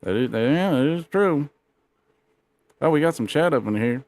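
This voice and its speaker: A man's voice: a little high, animated, moderate pace, a little mellow. A man co-hosting a live stream in casual, joking, profane talk, laughing often. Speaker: podcast co-host.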